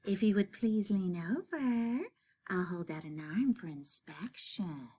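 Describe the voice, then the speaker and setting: A woman's voice: animated, slow pace, mellow. A woman voicing a polite, well-groomed male monster in a storybook, with a silky voice and a formal, prissy manner. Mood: courteous. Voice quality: high, silky, husky-breathy. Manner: formal, genteel. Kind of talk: picture-book read-aloud.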